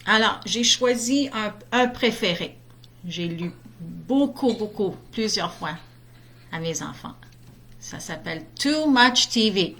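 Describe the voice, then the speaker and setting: Animated bright-voiced woman reading to kids. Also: medium pitch, measured pace. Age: middle-aged. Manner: chatty, expressive, easily distracted by greetings. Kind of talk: animated children's storybook read-aloud with casual bilingual asides.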